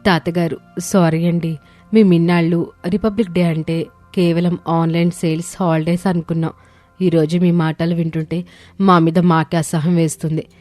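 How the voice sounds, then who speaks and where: Woman acting a mellow, lowish young daughter-in-law. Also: flat delivery, moderate pace, no room sound, faint music behind, close to an everyday voice. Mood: remorseful. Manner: respectful, earnest. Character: earnest young daughter-in-law of a village family.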